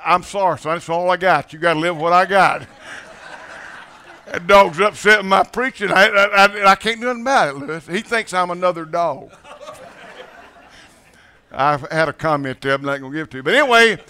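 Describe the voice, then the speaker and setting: Folksy Mississippi preacher telling jokes. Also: male voice, sits high, pushed and bright, smooth. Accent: Mississippi Southern. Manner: halting, repeats words, chuckles often. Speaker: guest preacher. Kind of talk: folksy storytelling sermon with jokes. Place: church sanctuary with congregation.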